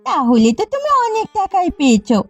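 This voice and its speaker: Cartoon village girl, voiced by a woman: pushy fish seller, very high, swooping, wheedling delivery. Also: big pitch swings, brisk, dry recording. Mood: complaining. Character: pushy, money-minded younger sister, village fish seller. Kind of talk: Bengali cartoon dialogue. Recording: slightly muffled audio with background music. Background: background music.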